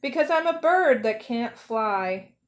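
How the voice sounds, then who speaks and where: A woman voicing an earnest, thoughtful young male penguin in a gentle manner for a children's story. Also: fairly high pitch, expressive, slow, slight background noise. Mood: quiet, wistful.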